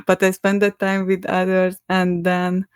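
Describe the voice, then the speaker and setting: A woman's voice: fairly low pitch, monotone, a little slow, clear, resonant tone, no echo, clean studio sound. A young woman with a Hungarian accent, a podcast guest talking in a rambling, hesitant way and laughing often between thoughts. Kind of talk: casual conversation.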